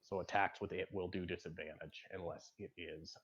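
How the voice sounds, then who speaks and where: Man, game master, low voice, halting explanatory narration, tabletop game, muffled lo-fi audio. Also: steady tone, fairly bright tone, a little hiss or hum.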